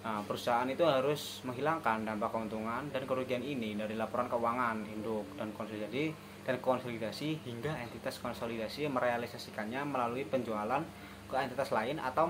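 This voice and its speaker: A young man presents lesson material as a student. His voice is medium in pitch and his delivery is hesitant, with frequent stumbles. The recording has clear background noise. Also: fairly quick. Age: young adult. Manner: hesitant, often stumbling over words.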